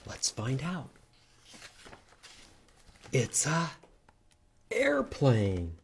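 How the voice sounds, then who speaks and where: A man reading aloud to children at a storytime, expressive and inviting them to join in. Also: mid-range voice, very slow, creaky, breathy edge. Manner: expressive, animated, asks listeners to join in. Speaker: children's storytime reader at a library. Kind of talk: read-aloud storytime with singing and prompts to children.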